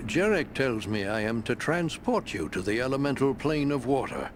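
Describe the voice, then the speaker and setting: A man's voice: mid-range voice, big pitch swings, normal speed, background noise, natural voice. A man playing a polite magical guide in a fantasy video game, formal and inquiring. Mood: polite, cautioning. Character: polite magical guide who transports adventurers. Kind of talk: video game character acting.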